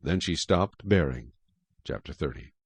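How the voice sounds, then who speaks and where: A man narrating scripture dramatically, in an expressive storytelling manner. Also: very deep voice, a little slow, breathy edge, no room sound.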